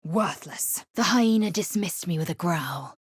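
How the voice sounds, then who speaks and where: A low, raspy female alien warrior for a video game. She is fierce and contemptuous, weighing each word slowly and giving orders with imperious, clipped precision. The sound is close and dry. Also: expressive, dry recording. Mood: brooding recollection. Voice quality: husky, raspy, breathy edge. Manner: clipped, imperious, deliberate delivery. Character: fierce, haughty githyanki warrior woman, contemptuous and martial. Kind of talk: video game character acting.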